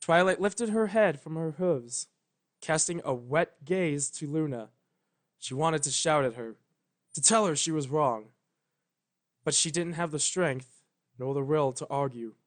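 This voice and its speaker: A man's voice: fairly high pitch, lively intonation, a little slow, pauses often. A man reading a story aloud as narrator, in a dramatic scripted style. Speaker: narrator, fan fiction reader.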